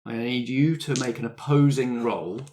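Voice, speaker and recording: A man's voice: mid-range voice, moderate pace, background noise. A man acting as game master, casually explaining dice rolls and rules to players.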